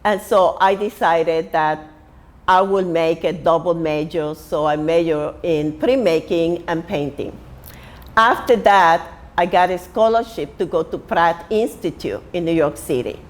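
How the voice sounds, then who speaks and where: Slow-spoken Puerto Rican woman in her sixties. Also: fairly low pitch. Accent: Puerto Rican Spanish. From Puerto Rico. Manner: unhurried, many pauses and restarts. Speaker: artist and printmaking teacher. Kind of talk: personal life story told to camera.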